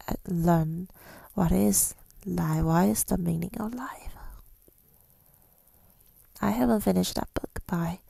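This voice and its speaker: A woman speaking in a soft, breathy whisper in an ASMR style, reflective and hesitant, pausing often, close to the microphone. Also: lowish voice, no echo. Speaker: ASMR creator. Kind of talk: ASMR, rambling personal reflection.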